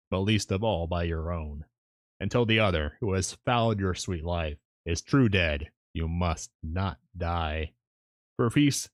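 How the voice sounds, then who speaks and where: A man's voice: lowish voice, no room sound, clean studio sound. A man reading aloud and voicing a solemn elderly professor in a storybook, with formal, old-fashioned speech. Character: solemn elderly male professor, Van Helsing.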